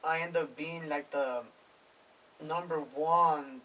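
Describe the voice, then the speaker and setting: A man's voice: a little high, fairly even intonation, slow pace, bright, forceful tone. A man in his thirties, a music producer guest, talking in casual slang with lots of "bro" and "homie". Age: 30s. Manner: slangy, swearing, fillers "bro" and "homie" throughout. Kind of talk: casual interview talk. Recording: distant mic with faint noise.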